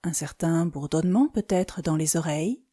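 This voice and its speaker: A woman leading a guided yoga nidra meditation as an instructor, speaking calmly and soothingly in short phrases with pauses. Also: deep voice, breathy edge.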